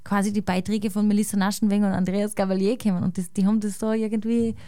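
An Austrian woman with a Salzburg accent, a guest chatting casually and thinking aloud, often ending with a quick tag question. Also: medium pitch, little pitch movement, warm tone, no echo, clean studio sound. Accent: Salzburg Austrian German. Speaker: guest musician. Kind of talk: casual conversation.

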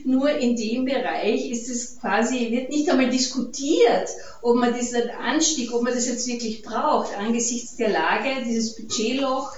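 A woman with an Austrian accent speaks to an event in a conversational, halting way. Her voice sits a bit high. It is picked up from afar in a very echoey space, with some background noise. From Carinthia, Austria. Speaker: guest speaker, peace activist. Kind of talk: informal public lecture. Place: event hall, recorded from a distance. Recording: echoey room, distant muffled mic, noisy.

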